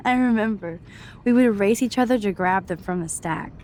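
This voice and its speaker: A young woman with an American accent playing an introspective, self-doubting teenage girl in a video game, soft-spoken, understated and casual. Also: mid-range voice, normal speed, slight background noise, lightly acted. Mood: cheerful, nostalgic. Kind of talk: video game acting, quiet inner monologue and dialogue. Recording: music under the voice. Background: background music.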